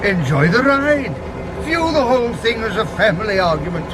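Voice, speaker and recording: High-voiced, pompous villainous English professor. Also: male voice, sing-song, normal speed, crowd noise. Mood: blithe, ruthless. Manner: florid, pompous, old-fashioned gentlemanly speech. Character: urbane villainous professor, pompous, cheerfully ruthless criminal mastermind. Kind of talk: 1970s British radio drama acting. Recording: noisy old radio drama recording.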